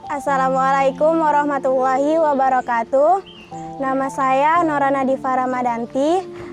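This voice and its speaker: A young woman from Sumatra speaks in a high voice, pausing and halting between phrases. Soft music can be heard faintly behind her. She sounds like a student giving her answers on camera. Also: steady tone. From Sumatra, Indonesia. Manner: hesitant, halting. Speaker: graduating Quran memorization student.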